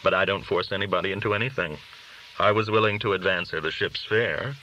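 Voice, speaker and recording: Man voicing worldly underworld dealer, audiobook dialogue, brash, glib, lowish sharp voice, argumentative. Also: fairly quick, dull audio, slight background noise. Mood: cynical, persuasive. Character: worldly, brash, cynical male underworld dealer, boastful talker.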